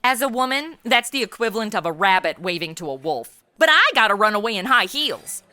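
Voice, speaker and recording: A woman's voice: medium pitch, very animated, brisk, sharp tone, dry recording, soft background music. A woman playing a snarky modern young superhero sidekick in a comedy audio drama, tossing off dry, sassy asides.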